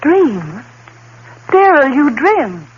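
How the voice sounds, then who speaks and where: An anxious, devoted young fiancée. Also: female voice, sits high, very animated, extremely slow, full character voice. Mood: teasing. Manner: anxious, pleading, affectionate. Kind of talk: 1940s radio acting. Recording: dull old radio broadcast with faint hiss.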